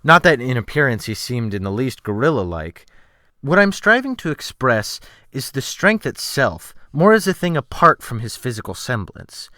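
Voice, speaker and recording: A man narrating an audiobook as a dramatic reading, with measured, formal and emphatic phrasing. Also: mid-range voice, very animated, bright, forceful tone, no echo, clean studio sound.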